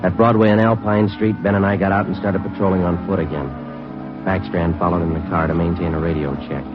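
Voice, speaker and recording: A man's voice: sits low, steady tone, fast, natural voice. An actor playing a terse male police detective who narrates the case in a clipped, matter-of-fact way, in a 1950s radio drama. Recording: dull 1950s radio broadcast with music.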